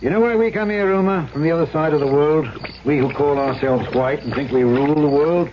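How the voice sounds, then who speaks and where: Actor as world-weary colonial trader, muffled radio. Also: male voice, on the high side, fairly quick, slight background noise, natural voice. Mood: troubled, bitter. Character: world-weary colonial trader, gruff but soft-hearted. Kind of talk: 1970s radio drama acting with first-person narration. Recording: dull vintage radio with music.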